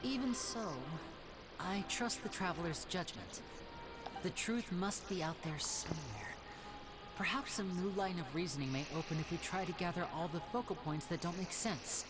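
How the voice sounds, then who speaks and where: A composed, supportive woman voiced by an actress with a lowish voice. She speaks politely in video game dialogue. Loud music plays behind her. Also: animated, moderate pace, natural voice. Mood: calm resolve.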